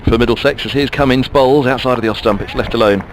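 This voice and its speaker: A man's voice: mid-range voice, fast talker. A man calling cricket ball by ball for radio, his voice in a middle register. He muses and chats between deliveries and picks up the pace when the bowler runs in. Faint outdoor noise sits behind him. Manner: chatty, musing between deliveries. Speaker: cricket commentator.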